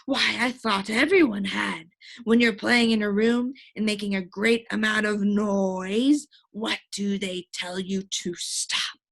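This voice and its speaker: Woman playing a bright, emphatic storybook doctor. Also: mid-range voice, steady tone, slow pace, vocal fry, full character voice. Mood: proud, explaining. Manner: emphatic, lecturing with questions. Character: exasperated, proud male doctor who deals in noise.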